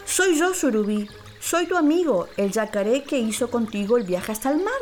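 A woman voicing an old, wise and sly male caiman in a children's storybook radio play, with deliberate theatrical delivery. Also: on the high side, expressive, a little mellow, a little hiss or hum, pitched above the performer's own voice, close to an everyday voice. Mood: pleading, friendly.

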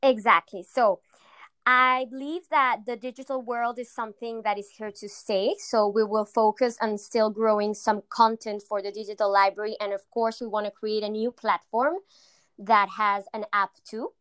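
Young Latin American woman founder, smooth voice. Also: mid-range voice, steady tone, normal speed, fairly bright tone, no room sound. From Argentina. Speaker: founder of a fitness company. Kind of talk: interview answers.

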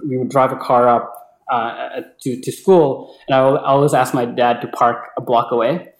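A young man from Bangladesh whose first language is Bengali speaks at a middling pitch in an echoey room. He talks like an interview guest telling his story casually, hesitating and doubling back on words as he goes. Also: fairly even intonation, moderate pace. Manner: hesitant, often repeating words. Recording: echoey, roomy sound.

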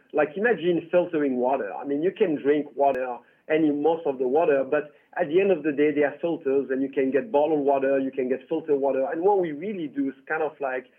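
A man's voice: on the high side, breathy edge. A man from France with a French accent, a tech founder chatting through an interview with lots of "you know". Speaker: tech company founder, guest. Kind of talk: casual interview. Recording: tinny phone-like line.

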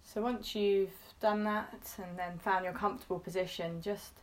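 A woman leading a guided meditation in a gentle, reassuring manner, speaking in short phrases with pauses between them. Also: medium pitch, fairly even intonation.

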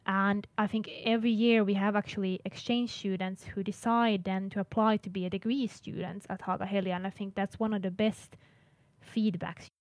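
A woman from Finland speaks at a mid-range pitch as an international services coordinator. She is earnest, restarts words often and laughs lightly now and then. Her voice is recorded dry and close. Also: a little mellow, dry recording. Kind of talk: interview answers.

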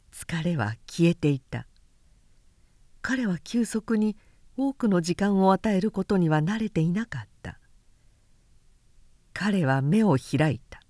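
A woman narrating a literary novel in audiobook style, with measured, deliberate phrasing and pauses between phrases. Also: lowish voice, a little mellow, dry recording, studio quality. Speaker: narrator reading a novel aloud.

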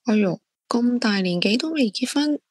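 Husky, nosy woman friend played by an actress. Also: on the high side, lively intonation, normal speed, dry recording, studio quality. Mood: prying, incredulous. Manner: blunt, prying questions and exclamations. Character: nosy, blunt female friend prying into romances. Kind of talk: Cantonese audio drama acting.

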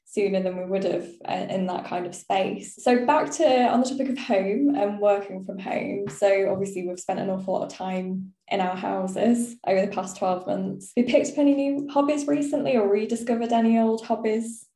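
A woman's voice: medium pitch, fairly even intonation, moderate pace, hardly any pauses, dark tone, roomy sound. A woman hosting a podcast from an office, friendly and steering the talk with questions. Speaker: podcast host. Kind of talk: casual podcast interview.

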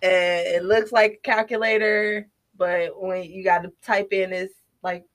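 A woman's voice: mid-range voice, flat delivery, unhurried. A young woman co-hosting a casual podcast chat, hesitant and filling her talk with "like" and "um". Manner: hesitant, many "like" and "um" fillers. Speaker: podcast co-host.

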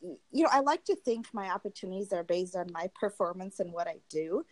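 A woman's voice: lowish voice, animated, fairly quick, darkish tone, no room sound, low-quality recording. A young woman from Tanzania, a guest in an interview, talking through her own experiences in a friendly, reflective way with many fillers. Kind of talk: candid personal interview.

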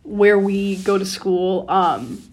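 A woman's voice: mid-range voice, slow pace. Chatty young woman podcaster, slangy banter. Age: young adult. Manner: chatty, banters and echoes her co-host, heavy use of "like" and youthful slang. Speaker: podcast co-host. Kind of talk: casual chat.

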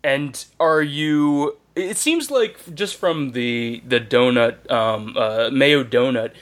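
A man from Maryland who hosts a podcast, asking his guests questions in a friendly, halting way. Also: medium pitch, lively intonation. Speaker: podcast host. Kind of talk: casual chat.

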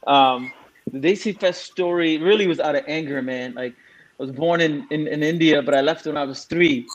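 A man's voice: fairly high pitch, normal speed, highs cut off. Candid man in his forties. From Singapore. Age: 40s. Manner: candid, frank storytelling with many fillers. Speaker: guest speaker on a panel. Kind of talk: casual interview answer.

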